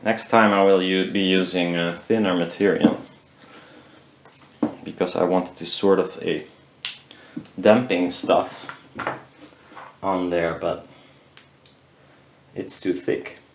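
Low-voiced man muttering in a noisy room. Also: fairly even intonation. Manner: muttering, halting, thinking aloud. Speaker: DIY maker. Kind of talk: casual workbench commentary while building. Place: indoor workshop at a cluttered workbench. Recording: roomy echo, loud workshop noise, clicks.

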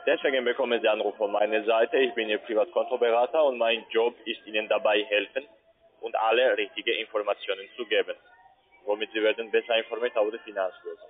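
Man, foreign accent, non-native German, low pitch, call-centre sales talk, tinny phone audio. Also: steady tone, fairly quick. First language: not German. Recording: tinny narrowband phone line.

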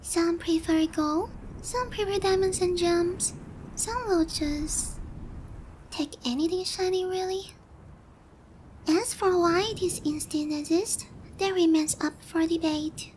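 A woman's voice: extremely high pitch, slow, pauses often, darkish tone, slight background noise. A woman voicing a haughty, flustered, cutesy young dragon girl in an anime ASMR roleplay, condescending yet hesitant. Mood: sheepish, explaining. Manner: condescending, hesitant with giggles and murmured asides. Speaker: voice actor.

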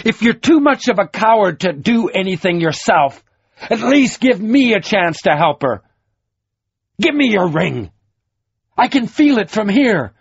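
A man voicing a desperate, furious young warrior who shouts stridently, on a dull lo-fi recording. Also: sits high, sing-song, a little slow, hardly any pauses, breathy edge, no echo, voice pushed up, heavily acted.

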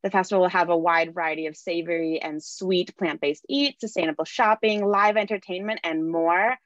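A young woman with a low voice reads a prepared introduction in a steady, scripted way. Her audio is dry and muffled, like a typical video call connection. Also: moderate pace, dry recording. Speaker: nonprofit media and outreach manager. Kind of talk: reading an event introduction. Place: indoor room, video call.

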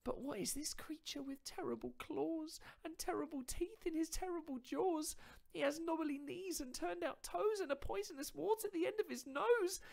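High, chirpy little storybook mouse. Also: male voice, moderate pace, creaky. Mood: frightened. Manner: chirpy, sing-song, animated. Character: small clever mouse, cheeky, boastful trickster.

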